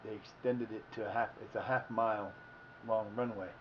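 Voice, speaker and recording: A man's voice: lowish voice, steady tone, brisk, slight background noise. Rambling man in his fifties, muffled audio. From Ohio, United States. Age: 50s. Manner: meandering, self-correcting, folksy. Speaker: livestream host. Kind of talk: rambling casual storytelling.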